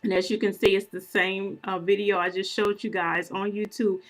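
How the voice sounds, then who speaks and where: A woman hosting her own ministry channel, explaining things to viewers in a casual, unhurried way, often clearing her throat and pausing on fillers as she corrects herself. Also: mid-range voice, level tone, rough recording. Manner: unhurried, self-correcting, conversational. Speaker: prophetic minister and video channel host.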